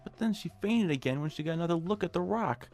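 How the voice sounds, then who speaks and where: A man's voice: on the high side, lively intonation, fast, darkish tone, faint music behind. An actor playing a meek, henpecked, hapless man who stammers and whines in a radio comedy. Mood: chatty, uneasy. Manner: stammering, whiny, exclaiming. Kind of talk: modern revival of old-time radio comedy drama acting.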